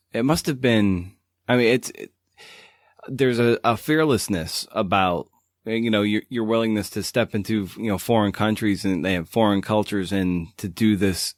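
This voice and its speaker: A man hosting a podcast interview, asking casual questions in a rambling, halting way as he searches for phrasing. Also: lowish voice, no room sound, studio quality.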